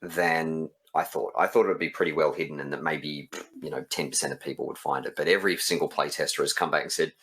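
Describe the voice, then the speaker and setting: Very deep-voiced man chatting casually. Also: steady tone, fast talker, few pauses, fairly bright tone. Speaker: game developer and writer. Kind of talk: casual interview chat.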